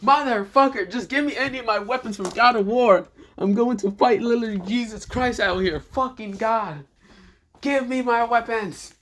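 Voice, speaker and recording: A man voicing a hot-headed, foul-mouthed young male hero from a fan story, ranting and crying out in drawn-out shouts. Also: a little high, slight background noise. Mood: frantic, furious.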